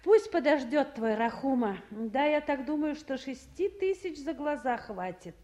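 A high-pitched, bossy woman official in an old Soviet radio play. She speaks in a businesslike, conversational way with some warmth underneath, in a roomy studio recording. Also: lively intonation, unhurried. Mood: brisk, commanding. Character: brisk, bossy female town council official, warm underneath. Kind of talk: 1950s Soviet radio drama acting.

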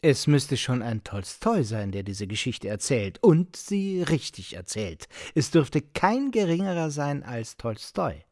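A man's voice: mid-range voice, sing-song, unhurried, few pauses, darkish tone, dry recording, clean studio sound. Insistent, pontificating married man. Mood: lecturing. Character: male host, insistent, pontificating, edgy married storyteller. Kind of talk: radio drama acting.

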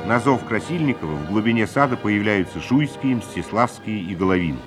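A man's voice: on the low side, animated, moderate pace, over music. A man narrating the scenes of a radio play in a scripted, stage-setting style.

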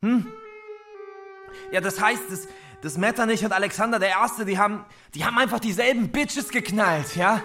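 Agitated young male teacher: highish, bright voice, halting and repetitive, erratic intensity, music behind. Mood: crude, agitated. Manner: halting, repetitive, breaking off mid-sentence. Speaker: actor playing a history teacher. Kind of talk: stage drama acting.

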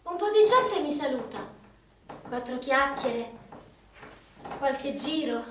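An actress in a radio play, playing a lonely, sarcastic young woman, a jobless graduate. She drops to a hush when wistful and turns loud and mocking when she rants. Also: high voice, sing-song, slow, a little hiss or hum, heavily acted. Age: young adult. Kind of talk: theatrical monologue for radio. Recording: echoey room from far off, music behind.

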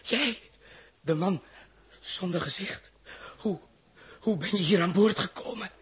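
An actor playing an anguished, injured spaceman in a 1960s radio drama, halting and pleading as he repeats his words. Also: high-pitched, fairly bright tone, husky, exaggerated voice. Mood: frantic. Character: anguished injured young spaceman, guilt-ridden and desperate. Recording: noisy vintage 1960s radio recording.

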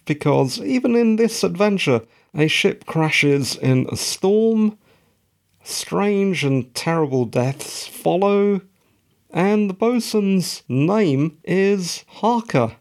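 Croaky, slow-talking man. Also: mid-range voice, a little mellow. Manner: rambling, self-mocking asides. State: croaky, tired voice. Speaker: podcast host. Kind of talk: solo podcast recap monologue.

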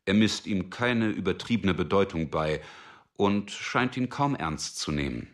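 A man narrating a radio drama from a script in a measured, sober manner. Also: sits low, animated.

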